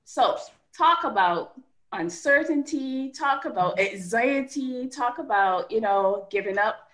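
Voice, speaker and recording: A woman from Barbados with a Barbadian accent, a guest author and coach talking through an interview in animated, rhythmic runs of points. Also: a little high, slow pace, poor audio. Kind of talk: podcast interview conversation.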